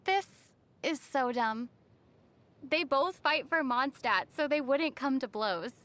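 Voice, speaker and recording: A woman voicing a young female traveler in a video game, calm and playfully teasing, with wry delivery. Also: high voice, slow, heavily acted. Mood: exasperated.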